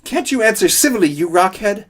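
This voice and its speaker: A man voicing a vain, boastful male coyote trickster in a story, with a high, squealing, exaggerated falsetto and a wheedling, prattling manner. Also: very animated, unhurried. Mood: indignant. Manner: wheedling, prattling, shrill outbursts.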